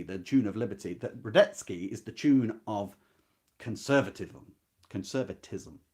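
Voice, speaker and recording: A man's voice: lowish voice, darkish tone. A man hosting a literary readalong, reading and explaining with engaged emphasis, repeated key words and rhetorical questions. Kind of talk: book discussion with expressive read-aloud passages.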